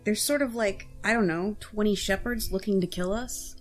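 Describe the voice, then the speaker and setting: A woman's voice: mid-range voice, moderate pace, faint music behind, natural voice. A woman playing an earnest, anxious young fighter in an audio drama, informal and questioning. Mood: exasperated, urgent.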